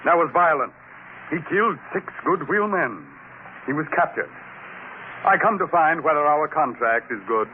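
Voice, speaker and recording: An actor playing the solemn chief of an alien potter tribe, speaking in formal, stately phrases in a 1950s radio drama. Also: male voice, fairly high pitch, lively intonation, normal speed, natural voice. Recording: scratchy tinny old radio broadcast.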